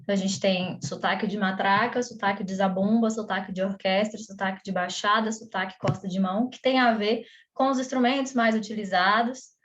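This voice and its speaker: A woman's voice: mid-range voice, fairly even intonation, brisk, few pauses, highs cut off, slight background noise. A Brazilian woman with a Brazilian Portuguese accent, giving a conversational online lesson as a teacher, with frequent fillers and restarts.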